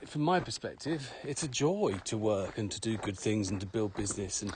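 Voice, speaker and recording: A man's voice: lowish voice, animated, normal speed. A man co-hosting a podcast in casual conversation, speaking in an opinionated, emphatic way with repeated phrases. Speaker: podcast co-host. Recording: clearly noisy background with clicks.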